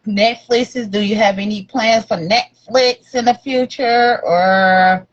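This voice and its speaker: A woman's voice: a little high, steady tone, takes it slowly. Chatty woman hosting a podcast, lo-fi. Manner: chatty, asks questions with "wow" and "you know". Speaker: podcast host. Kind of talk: casual interview. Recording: dull lo-fi audio.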